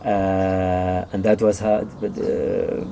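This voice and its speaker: A middle-aged man with a Kurdish accent, a native Kurdish speaker, giving halting, conversational interview answers as he searches for words. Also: low voice, flat delivery, vocal fry, mellow, slight background noise. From Iraqi Kurdistan. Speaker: author and publisher, interview guest.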